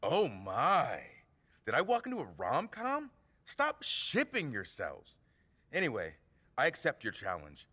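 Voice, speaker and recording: An actor playing a brash, theatrical wrestler who taunts and mocks in a video game cutscene. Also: male voice, mid-range voice, huge pitch swings, a little slow, bright, forceful tone, long reverb tail, heavily acted. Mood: mocking. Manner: theatrical, mocking.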